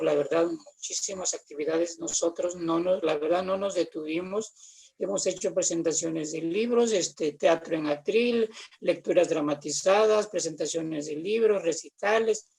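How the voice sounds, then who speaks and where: A man's voice: high-pitched, gravelly, darkish tone, husky. An older man with a Mexican accent chatting casually in a rambling, repetitive way, telling anecdotes on a video call. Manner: rambling, repeats phrases. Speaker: guest, theatre artist.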